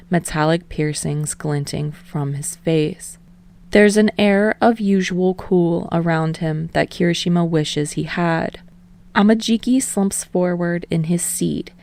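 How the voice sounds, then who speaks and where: Female fiction narrator, low register, slightly gravelly, expressive scripted read. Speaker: audiobook reader of fan fiction.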